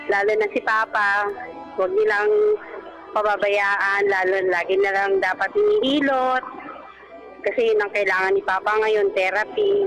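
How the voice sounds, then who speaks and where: A woman's voice: fairly high pitch, fairly bright tone, poor audio. Polite Filipino woman calling in. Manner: polite, deferential speech. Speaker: caller to a radio show. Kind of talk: personal phone-in talk. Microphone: phone call. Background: background music.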